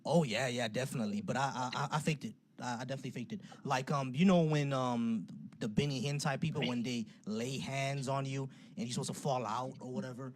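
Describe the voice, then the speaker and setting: A man's voice: mid-range voice, fairly even intonation, a little fast, hardly any pauses. A man hosting a casual call-in debate stream, talkative and loose, laughing easily. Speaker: livestream host. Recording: noisy livestream audio.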